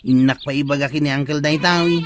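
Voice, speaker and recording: A man plays an earnest Ilocano goat owner in a radio comedy drama. His voice sits slightly high, and he talks in a plaintive, insistent way like someone with a grievance to air. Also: steady tone, quick pace, background noise, natural voice. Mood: baffled, upset. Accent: Ilocano Filipino. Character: aggrieved earnest goat-owning villager, respectful nephew.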